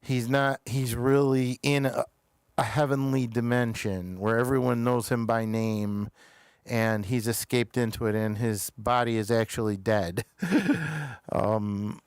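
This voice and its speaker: A man hosting a show, chatting casually through episode reviews in a halting way, pausing often mid-thought. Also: medium pitch, fairly even intonation, clear, resonant tone, no echo, clean studio sound.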